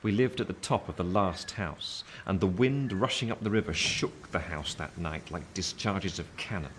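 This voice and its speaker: An actor playing a solemn gentleman narrating his past in a radio play, measured and grave. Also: low-pitched, slight background noise. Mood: brooding, tense.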